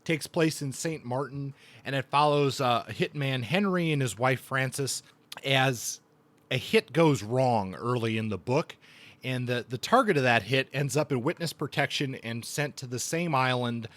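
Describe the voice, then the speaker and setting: Man, mid-pitched voice, podcast host, casual book review talk, halting thoughtful phrasing. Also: a bit bright.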